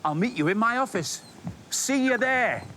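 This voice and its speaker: Lively male children's story-time host. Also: very high voice, moderate pace, background noise. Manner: expressive, playful. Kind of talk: presenting directly to young children.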